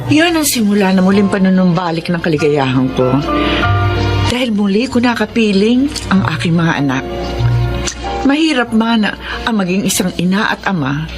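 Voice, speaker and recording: A woman's voice: medium pitch, big pitch swings, moderate pace, over loud music, lightly acted. A woman acting a loving, long-suffering middle-aged mother in a Philippine radio melodrama, with a Filipino accent and a tremulous, tender delivery. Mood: reflective narration.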